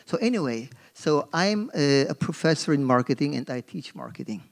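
A man's voice: fairly high pitch, expressive, normal speed, vocal fry. A middle-aged man from Turkey with a Turkish accent, speaking on a forum panel as a marketing professor, hesitant with many fillers and restarts, into a podium microphone on a stage. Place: stage in a dark auditorium. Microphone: gooseneck podium mic.

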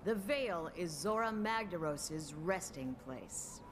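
An actress playing a seasoned, wry older woman researcher in a video game, measured and assured as a mentor. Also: fairly low pitch, expressive, noisy background. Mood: wistful, contemplative. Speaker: game voice actor. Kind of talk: dramatic video game cutscene acting.

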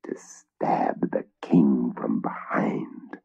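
A man's voice: on the low side, wildly swooping pitch, measured pace, breathy edge, full character voice. A man voicing a fierce, treacherous knight who speaks in a scornful, whispered hiss, in a dull lo-fi recording. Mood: scheming.

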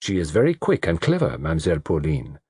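A man voicing a commanding European detective with a French accent, speaking in a deliberate, theatrical, precise way in an audiobook. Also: a bass voice, huge pitch swings, darkish tone, breathy edge, no room sound, clean studio sound, heavily acted. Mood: triumphant, precise.

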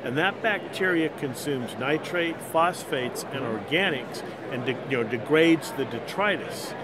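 A man's voice: animated, a little slow, crowd noise. An older man, a company founder, explaining a product at an expo booth in a confident, practiced way. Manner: assured, fluent pitch. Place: busy indoor expo booth. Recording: loud background noise of the expo hall.

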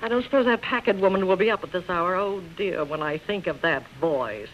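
A woman's voice: lowish voice, animated, brisk, lightly acted. A woman playing a fussy, snobbish society mother in a 1930s radio drama, fluttery in manner. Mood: disdainful. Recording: dull, noisy old radio recording.

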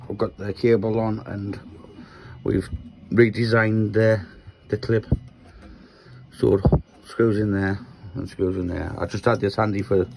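A Geordie man with a gravelly, croaky voice and a low, mellow tone rambles casually as he points at parts. He films on a phone in his hand inside a small wooden shed, with a faint hiss behind him. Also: poor audio. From Newcastle, England. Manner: rambling, explains while handling parts. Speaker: maker vlogger. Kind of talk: casual workshop walkthrough. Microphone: handheld phone.

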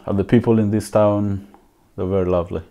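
A man in his thirties with a Ugandan accent, speaking softly and reflectively in an interview from his living room. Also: lowish voice, flat delivery, a little fast. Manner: soft-spoken, reflective, with fillers. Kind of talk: personal interview answers.

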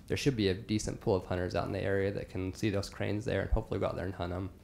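A man in his thirties speaks at a podium in a large hall, his voice low and gravelly. He answers questions in a measured way with frequent ums. A faint hum sits behind him. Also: flat delivery, darkish tone. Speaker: wildlife biologist presenting. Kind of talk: answering questions at a public meeting. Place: large government meeting hall. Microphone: podium microphone.

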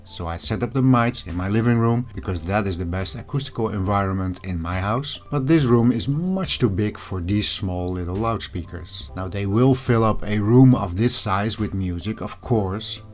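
A man's voice: lowish voice, moderate pace, hardly any pauses, faint music behind. A man hosting an audio review channel, narrating a scripted product review.

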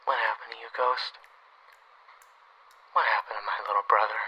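A man's voice: on the low side, little pitch movement, moderate pace, breathy edge, dull audio. A man playing a wry, wounded older brother and assassin in an audio roleplay, speaking hesitantly and conversationally. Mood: hurt, probing. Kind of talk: audio roleplay drama acting.

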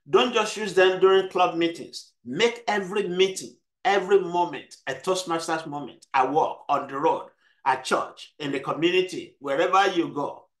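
A man's voice: fairly high pitch, pushed and bright. A man with a South Texas accent giving an emphatic motivational speech at a club meeting over a video call. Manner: emphatic, repeats key phrases.

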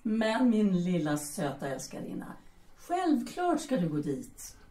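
Distant and echoey, a domineering bohemian woman played by an actress coaxes and commands playfully. Her mellow voice swoops widely as she teases, in audio drama style. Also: mid-range voice, wildly swooping pitch. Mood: coaxing, playful. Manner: commanding, coaxing, teasing. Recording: echoey room, distant from mic.

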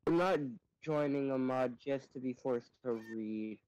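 A man's voice: mid-range voice, measured pace, a little mellow, clear, resonant tone, dry recording, poor audio. A man on voice chat teasing a friend in a dry, sarcastic way. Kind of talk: casual gaming chat.

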